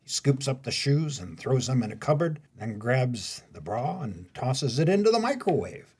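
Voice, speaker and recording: A man reading scripted announcements and stage directions as a narrator, in a formal, measured way. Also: medium pitch, big pitch swings, a little mellow, husky.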